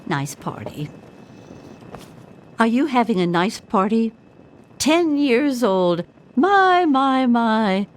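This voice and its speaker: A woman's voice: on the high side, very animated, takes it slowly, pauses often, a little hiss or hum. An older woman playing a rambling old vault dweller in a video game, kindly but tart, with old-fashioned exclamations. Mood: fond, rambling. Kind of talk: video game character acting.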